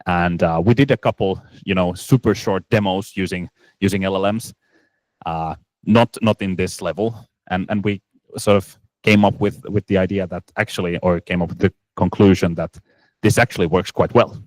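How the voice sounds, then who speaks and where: A man's voice: sits low, steady tone, moderate pace, darkish tone, no room sound, poor audio. A man presenting at a conference Q&A, answering questions with many restarts and fillers. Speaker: startup presenter.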